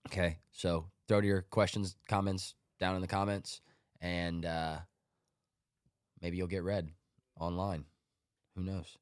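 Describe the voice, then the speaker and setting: Male podcast host, low voice, slightly congested, dry close sound, offhand joking read. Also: little pitch movement, slow, pauses often, clear, resonant tone, dry recording. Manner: offhand, joking, talks through his picks. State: congested from a cold. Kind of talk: casual sports podcast monologue.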